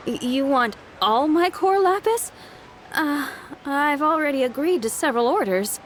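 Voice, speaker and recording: A woman's voice: sits high, expressive, measured pace, a little hiss or hum. An actress playing a polite, hesitant shopkeeper woman in a video game. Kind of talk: video game character acting.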